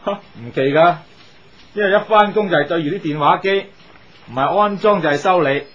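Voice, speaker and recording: A man's voice: high voice, moderate pace, fairly bright tone. An actor playing a curious, practical male workmate giving advice in a 1980s Hong Kong Cantonese radio drama. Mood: practical, advising. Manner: inquisitive, advising. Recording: slightly dull, noisy old radio recording.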